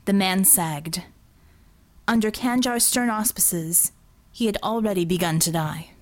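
A woman reading dramatic fiction as an audiobook narrator, deliberate and expressive in her storytelling. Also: medium pitch.

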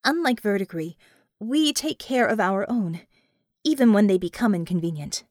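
Woman voicing a brisk, matter-of-fact young female techno-mage. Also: medium pitch, lively intonation, a little mellow, no echo, clean studio sound, lightly acted. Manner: matter-of-fact, businesslike, explanatory.

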